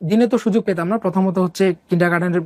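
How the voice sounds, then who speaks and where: A man's voice: high voice, fairly even intonation, racing, dark tone, no room sound. A young man from Bangladesh telling his own life story in a casual, rambling way, with many fillers and English words mixed in.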